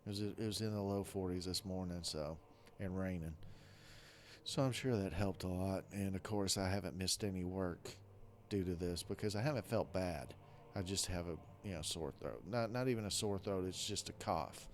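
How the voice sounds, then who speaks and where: A man with a hoarse, rough voice from a weekend of coughing, talking casually and haltingly through a strategy game. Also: sits low, slight background noise. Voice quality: rough-sounding voice. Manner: rambling, hesitant, many restarts. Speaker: strategy game video creator. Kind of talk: casual gameplay commentary.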